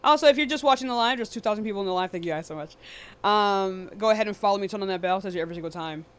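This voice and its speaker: A woman's voice: medium pitch, rapid-fire, clean tone. A woman hosting a live debate stream in a casual, blunt, dismissive and often profane way. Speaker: livestream debate host.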